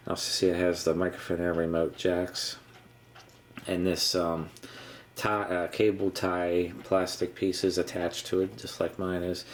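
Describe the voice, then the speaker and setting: Male hobbyist presenter, low-pitched, halting and matter-of-fact, casual narration over noticeable background noise. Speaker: vintage electronics hobbyist presenter. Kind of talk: casual unscripted show-and-tell narration.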